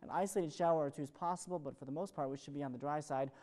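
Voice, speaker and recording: A man's voice: on the high side, very fast, dark tone. An American man presenting an ad-libbed television weather forecast in an upbeat, chatty way.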